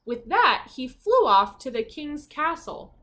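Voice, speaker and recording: Woman teacher, storybook read-aloud: slow delivery, raised pitch, expressive, with simple questions to listeners. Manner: expressive reading, pausing to ask simple questions.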